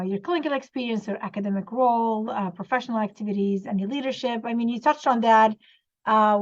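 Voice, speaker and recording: A woman interview host who asks questions warmly and admiringly, with frequent "uh" and "um" hesitations. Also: on the high side. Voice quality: warm and admiring, hesitant fillers. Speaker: interview host, occupational therapist. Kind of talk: conversational interview. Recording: dull lo-fi sound.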